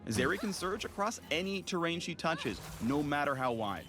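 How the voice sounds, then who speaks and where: A man's voice: fairly high pitch, big pitch swings, moderate pace, fairly bright tone, soft background music. A man reading a scripted promotional game narration in a punchy, polished announcer style. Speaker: champion spotlight narrator.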